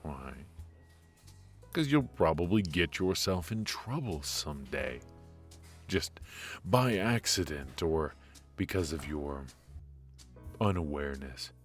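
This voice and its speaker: Very deep, slow-talking charismatic mafia infiltrator, acted by a man. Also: very animated, faint music behind, exaggerated voice. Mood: teasing, probing. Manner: hushed, halting, teasing, with murmured asides. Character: charismatic male mafia infiltrator, world-weary, secretive, protective. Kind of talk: ASMR roleplay, intimate dramatic monologue.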